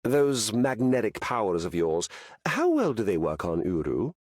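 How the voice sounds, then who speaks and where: An actor playing a lofty, godlike warrior in a video game, with theatrical, formal delivery. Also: male voice, fairly low pitch, wildly swooping pitch, a little slow, a little mellow, no echo, studio quality, exaggerated voice.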